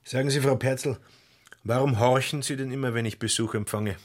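A man's voice: mid-range voice, fairly even intonation, brisk, lightly acted. An actor playing a self-important, opinionated young salesman with a Bavarian accent in a radio play. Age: young adult.

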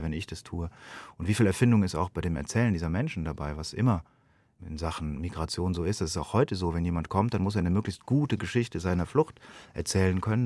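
A man's voice: deep voice, a little mellow, dry recording. A man from Germany speaking thoughtfully and earnestly as an interviewed playwright, reflecting on his own work. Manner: thoughtful, earnest, self-questioning.

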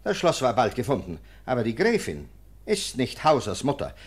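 An actor in a German radio play playing a sneering, sceptical nineteenth-century police lieutenant with a clipped, officious manner. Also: male voice, mid-range voice, huge pitch swings, normal speed, husky. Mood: scornful, assured.

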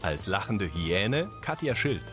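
Man, low voice, slow pace, scripted announcer read, animated intonation, music behind. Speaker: podcast announcer.

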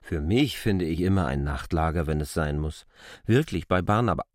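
Low-voiced, reluctant, proud surveyor. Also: male voice, expressive, normal speed, no room sound, clean studio sound. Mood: reluctant, uneasy. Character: proud, reluctant land surveyor K., grudgingly yielding. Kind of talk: German literary radio drama acting.

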